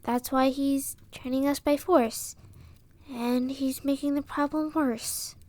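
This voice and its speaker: Woman acting high-voiced, earnest young village woman. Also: steady tone, slow, darkish tone. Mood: grave, earnest. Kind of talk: audio roleplay acting, storytelling dialogue.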